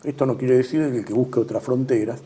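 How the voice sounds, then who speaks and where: An older man with an Argentine Spanish accent giving measured political commentary as an interview guest, trailing off at the ends of phrases and filling pauses with "este". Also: medium pitch, steady tone, warm tone, slight background noise.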